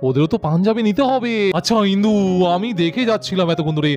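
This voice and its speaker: Guy doing impatient husband doll, high voice, talks fast, doll story thing, bit of music behind. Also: expressive, darkish tone. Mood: brisk, agreeable. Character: impatient husband doll on a family shopping trip. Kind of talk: doll-story role play.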